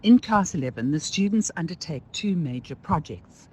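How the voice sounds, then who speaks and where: A woman presenting a scripted introduction in a formal, measured way. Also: lowish voice, expressive, darkish tone, rough recording. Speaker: presenter introducing a school radio play. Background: music.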